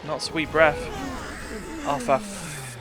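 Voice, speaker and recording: A man's voice: a little high, lively intonation, slow pace, background noise. A man giving live gameplay commentary, talking to himself and reacting as he plays. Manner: muttering to himself and reacting to play. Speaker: game streamer. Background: game music.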